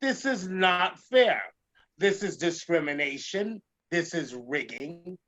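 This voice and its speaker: A man hosting a talk show as a comedian and drag performer, ranting emphatically in a theatrical way with a gravelly edge and repeating phrases for effect. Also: fairly high pitch, animated, takes it slowly, fairly bright tone, muffled recording. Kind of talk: animated talk show rant.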